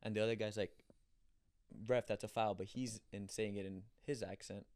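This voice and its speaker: A young man from Colombia, a former pro soccer player, telling animated stories in a casual interview, acting out quotes and restarting often. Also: lowish voice, normal speed, smooth, dry recording. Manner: animated storyteller, acts out quotes, frequent restarts.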